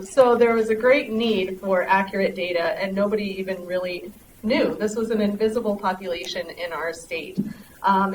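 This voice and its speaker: An articulate woman researcher talks fluently on a conference panel. Her voice is picked up from a distance, and the room adds a clear echo. A faint murmur of ambience sits underneath. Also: medium pitch, a little hiss or hum. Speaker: public health researcher, panelist. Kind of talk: panel discussion. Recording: roomy hall from a distant mic.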